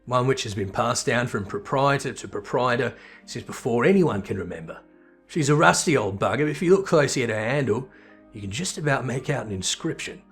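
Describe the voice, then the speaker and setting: A man voicing a gruff, wry tavern keeper who teases and spins tales in rough, colloquial speech, as in a fantasy game, with music behind. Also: mid-range voice, expressive, brisk, a bit bright. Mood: wry storytelling. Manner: colloquial, teasing, rough-spoken. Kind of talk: character voice reading video game dialogue.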